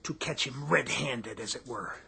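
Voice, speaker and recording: A man's voice: medium pitch, normal speed, creaky, background noise. A man acting in a radio drama as a self-righteous, scornful store owner with a pompous, florid, sarcastic manner. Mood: indignant, pompous. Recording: music bed behind dialogue.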